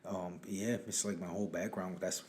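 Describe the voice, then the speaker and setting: A man's voice: low-pitched, fairly even intonation, normal speed, a little mellow. A man from Rhode Island by way of New York, chatting casually as a podcast guest, with slangy, rambling talk that circles back on itself. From born in Harlem, New York, raised in Rhode Island. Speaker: guest, rapper.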